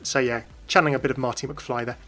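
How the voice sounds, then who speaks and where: A man's voice: medium pitch, steady tone, fast, sharp tone. A man giving explanatory commentary as the host of a gaming video. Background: music.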